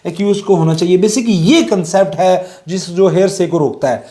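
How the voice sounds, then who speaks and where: A middle-aged man with a Pakistani accent and a soft, mellow tone, pitched a little high. He lectures informally, explaining step by step in a conversational way and slipping English legal terms into his speech. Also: normal speed. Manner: explanatory, conversational, illustrating with everyday examples. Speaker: law lecturer.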